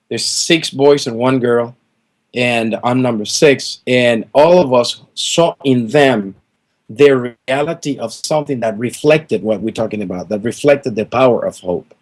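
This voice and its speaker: Middle-aged man, interview guest; earnest and emphatic, circles back on words, mid-range voice. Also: medium pitch. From Venezuela. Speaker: guest musician. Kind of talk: heartfelt interview answers.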